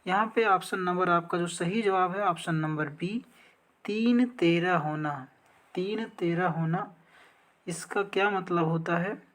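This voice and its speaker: A woman's voice: fairly low pitch, measured pace. A woman teacher explaining practice exam questions step by step, often asking a question and then answering it herself. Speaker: teacher, exam coaching instructor.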